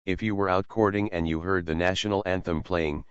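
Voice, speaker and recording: A man's voice: sits low, steady tone, brisk, smooth, no echo. A man reading a scripted narration about local history as a narrator. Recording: dry but dull, lo-fi sound.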